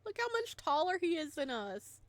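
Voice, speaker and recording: A woman's voice: very high voice, normal speed. A woman reading a visual novel game aloud, with dramatic, theatrical narration and playful reactions. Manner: expressive, theatrical.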